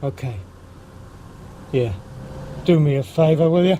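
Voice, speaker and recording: An actor playing a laconic, shady burglar in a radio drama, terse, offhand and deadpan. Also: male voice, fairly high pitch, a little slow, a little hiss or hum, lightly acted. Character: laconic, racing-obsessed male shady burglar.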